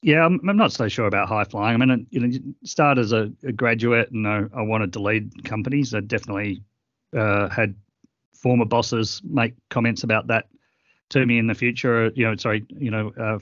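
A middle-aged man guesting on an interview, talking earnestly and haltingly with many fillers. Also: on the low side, fairly even intonation, a bit bright, dry recording, muffled recording. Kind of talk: reflective interview conversation.

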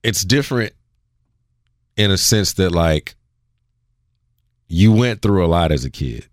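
A man's voice: low-pitched, lively intonation, a little slow, dry recording, studio quality. A man hosting a podcast, telling stories in a laid-back, joking way. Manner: laid-back, jokey storytelling. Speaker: podcast host. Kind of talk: casual conversation.

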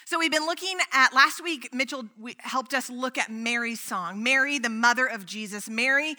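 A woman's voice: on the high side, normal speed, sharp tone. A woman pastor preaching a sermon in a church, chatty and playful with a strong voice and lots of casual asides. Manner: chatty and playful, with frequent "like" fillers. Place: church sanctuary.

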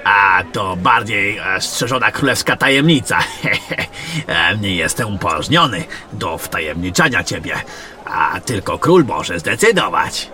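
A man playing a jovial, gruff veteran general in a fantasy audio drama, muttering, hesitating and repeating himself. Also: low voice, sing-song, unhurried, bright, forceful tone, soft background music, full character voice. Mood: evasive, amused.

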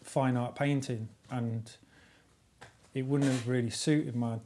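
A man in his forties from the East Midlands, a visiting illustrator, answering questions in a relaxed, thoughtful way. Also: mid-range voice, takes it slowly. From East Midlands, England. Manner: easygoing, thoughtful, hesitant fillers. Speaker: illustrator and artist guest. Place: podcast studio.

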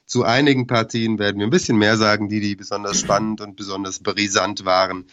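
A man with a cold, hoarse, hosting a casual sports podcast and hesitating with many filler sounds. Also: lowish voice, level tone, fairly quick, fairly bright tone, lo-fi sound, a little hiss or hum. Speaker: podcast co-host.

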